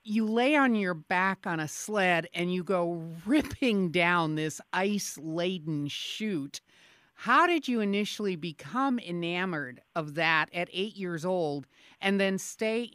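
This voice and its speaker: A woman interviewing a guest on public radio, asking measured, deliberate questions from a studio. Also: fairly low pitch, smooth, no room sound.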